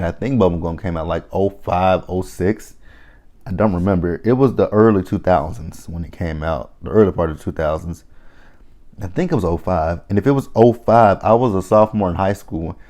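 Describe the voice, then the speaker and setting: A man in his thirties with a deep, low-pitched voice talks through a reality show episode in a loose, conversational way. He is blunt and opinionated and swears freely. He often stops and restarts his sentences as he thinks aloud. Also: darkish tone. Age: 30s. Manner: rambling with frequent restarts. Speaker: reality TV reviewer. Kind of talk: casual recap commentary.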